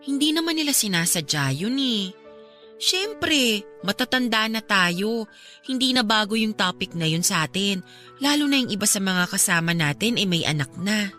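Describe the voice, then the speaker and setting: A woman's voice: mid-range voice, expressive, moderate pace, pushed and bright. Level-headed young woman, faint music. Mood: reproachful, reasoning. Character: level-headed young woman gently scolding her troubled ex. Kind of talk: radio drama dialogue.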